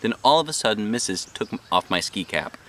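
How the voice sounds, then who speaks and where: A man hosting a read-aloud, narrating a children's storybook in an animated style. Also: fairly low pitch, fairly quick, a little hiss or hum.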